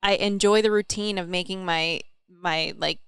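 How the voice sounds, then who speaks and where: A woman's voice: medium pitch, fairly even intonation, normal speed, a bit bright, clear, resonant tone, dry recording, studio quality. A young adult woman co-hosting a podcast, talking in a candid, chatty way. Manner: candid, chatty, swears casually. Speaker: podcast co-host. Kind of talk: casual chat.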